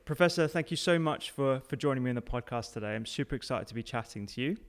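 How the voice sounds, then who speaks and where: A man's voice: mid-range voice, animated, fast talker. A man hosting a conversational podcast interview in an informal, enthusiastic way.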